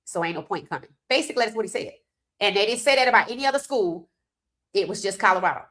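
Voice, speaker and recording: A woman's voice: medium pitch, expressive, fast, fairly bright tone. A woman hosting a casual sports podcast, a little congested from a cold, chatting loosely and talking straight to her viewers. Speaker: podcast host.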